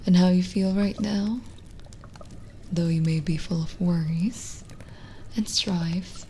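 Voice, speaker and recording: A woman playing a lonely, gentle witch in an ASMR roleplay, speaking softly and intimately, often in a whisper, with halting pauses. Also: lowish voice, steady tone, a little hiss or hum. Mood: gently reassuring. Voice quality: soft, breathy, often whispered. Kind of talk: ASMR roleplay, slow soothing scripted acting.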